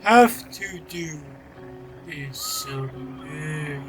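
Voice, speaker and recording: Very slow, possessed giant cat. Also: male voice, a little high, fairly bright tone, faint music behind. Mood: eerie, slow. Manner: slow, droning delivery. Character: big slime-possessed purple cat, slow, zombie-like. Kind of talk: audio story character acting.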